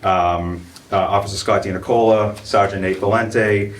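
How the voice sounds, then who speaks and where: Low-voiced man speaking from a distance. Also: steady tone, normal speed. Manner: casual, filler-heavy, loose and earnest. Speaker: police chief giving an update. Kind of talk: public meeting presentation. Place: town meeting room. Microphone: room microphone from a distance. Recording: distant room mic, faint noise.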